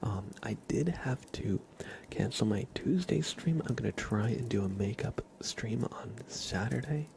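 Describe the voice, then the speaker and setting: A man chatting softly as an ASMR livestream host, hushed and often slipping toward a whisper. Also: medium pitch, expressive, unhurried, creaky, a little hiss or hum. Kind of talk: soft-spoken ASMR livestream chat.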